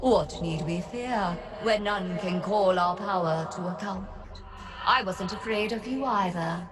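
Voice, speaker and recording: A woman's voice: mid-range voice, unhurried, music in the background, close to an everyday voice. A woman voicing a malevolent, taunting ghost of an actress in a horror audio drama, declaiming with menace. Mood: menacing.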